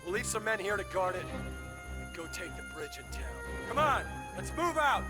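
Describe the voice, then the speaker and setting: An actor playing a steady, brisk squad soldier in a war video game. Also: male voice, high voice, over music. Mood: brisk, resolute.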